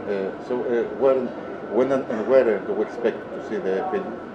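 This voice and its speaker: Halting male interviewer, lots of "uh", dull lo-fi audio with clear noise. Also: lowish voice, reverberant. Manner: halting, hesitant with many uhs. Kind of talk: interview questions.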